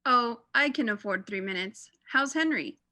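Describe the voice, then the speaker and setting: A woman's voice: a little high, animated, normal speed, bright, forceful tone, no room sound. A young woman playing a breezy suburban mother chatting and gossiping on the telephone in a radio play. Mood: breezy, chatty. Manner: bubbly, gossipy.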